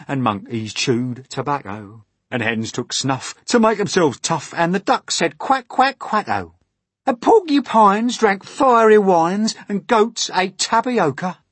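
Storybook centipede: vain, theatrical, very high and swooping, exclaiming everything. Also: male voice, fairly bright tone, slightly rough, dry recording, full character voice. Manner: shrill, exclamatory, melodramatic. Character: vain, excitable male centipede, boastful and theatrical. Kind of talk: children's storybook character voice.

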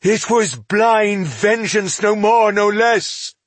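A man's voice: high-pitched, slow pace, dry recording, pitched above the performer's own voice. A man voicing an indignant, grieving male elf who accuses with passion in a fantasy audiobook. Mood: anguished grief. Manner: accusatory, impassioned. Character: anguished, indignant male elf accusing his people's killer.

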